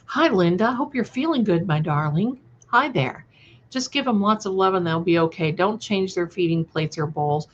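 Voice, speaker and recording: A woman's voice: on the low side, animated, moderate pace, a little hiss or hum. A woman hosting a casual livestream, answering viewers in a scattered, affectionate way full of endearments. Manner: gushing, affectionate terms of endearment, scattered and conversational. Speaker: livestream host and channel creator. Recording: dull, lo-fi livestream audio.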